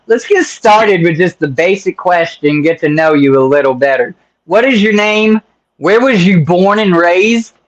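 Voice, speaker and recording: High-voiced, lively male interview host. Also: lo-fi sound. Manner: loose, joking, rambling with many fillers. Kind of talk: casual interview.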